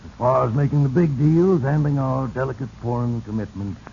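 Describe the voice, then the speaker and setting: An actor playing a smug company boss, condescending and quietly threatening, in a 1940s radio drama. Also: male voice, mid-range voice, vocal fry, lightly acted. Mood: patronizing, firm. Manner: condescending, measured. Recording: muffled, noisy 1940s radio recording.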